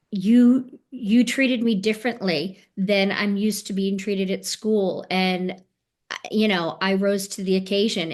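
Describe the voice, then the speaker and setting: Earnest woman on a video call. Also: mid-range voice. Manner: earnest, fond storytelling with fillers. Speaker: panelist tour director. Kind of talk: casual webinar chat.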